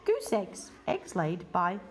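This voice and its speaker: A woman reading a children's picture book aloud in deliberate, measured phrases with expressive emphasis. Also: lowish voice, a little hiss or hum. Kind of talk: read-aloud children's story.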